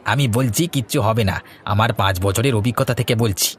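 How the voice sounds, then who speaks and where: A man's voice: fairly low pitch, brisk, natural voice. A man playing an earnest, humble working villager in a cartoon. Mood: earnest, reassuring. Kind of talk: Bengali cartoon dialogue. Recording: light music behind the voice.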